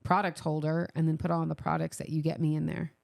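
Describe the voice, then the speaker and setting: A woman with a creaky voice, co-hosting a podcast in casual, enthusiastic banter with a playfully demanding way of talking. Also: low voice, flat delivery, fast, a little mellow. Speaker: podcast co-host.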